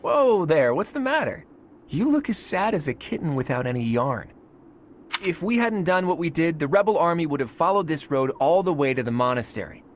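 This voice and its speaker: A man's voice: fairly high pitch, sing-song, normal speed, slight background noise. A man playing a sly, easygoing young nobleman student in a video game, with a casual, teasing and secretive manner. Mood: reassuring.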